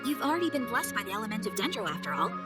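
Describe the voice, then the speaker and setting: Friendly receptionist in a game, kinda philosophical, high voice, lots of melody, music behind. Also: female voice, very animated, very fast. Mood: content. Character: serene, friendly receptionist woman, quietly wise and philosophical. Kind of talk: video game character voice acting.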